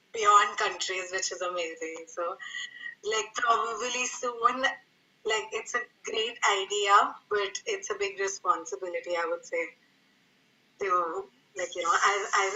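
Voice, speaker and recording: A woman's voice: medium pitch, pushed and bright, lo-fi sound. A woman from India with an Indian accent, chatting enthusiastically in an interview and filling her speech with "like". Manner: enthusiastic, lots of "like". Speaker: guest, jiu-jitsu practitioner. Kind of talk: casual interview chat.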